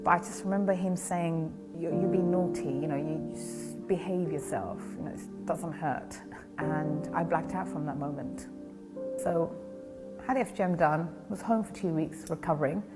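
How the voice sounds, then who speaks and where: Woman, lowish voice, grave measured testimony, music behind. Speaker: survivor giving testimony. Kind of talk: personal testimony.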